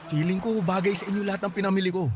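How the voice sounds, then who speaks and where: High-voiced, breezy devoted son played by an actor. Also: male voice, steady tone, rapid-fire, background noise, natural voice. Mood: breezy, teasing. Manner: breezy, teasing, affectionate. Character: devoted, playful grown son spoiling his mother. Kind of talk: Tagalog radio drama acting. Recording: music bed behind the voice.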